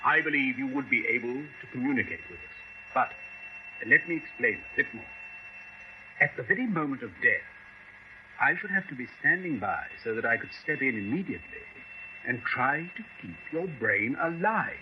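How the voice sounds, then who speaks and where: An actor playing a zealous, persuasive British neurosurgeon with an insistent, clinical manner in a 1970s radio drama. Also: male voice, mid-range voice, animated, normal speed, close to an everyday voice. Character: zealous, persuasive neurosurgeon, coolly enthusiastic about gruesome experiment. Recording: muffled, noisy old radio broadcast.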